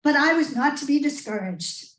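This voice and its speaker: High-pitched American woman telling a tall tale. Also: lively intonation, fairly bright tone. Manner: theatrical, with dramatic pauses. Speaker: speech contestant. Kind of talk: rehearsed humorous storytelling speech. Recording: roomy, echoing live speech pickup.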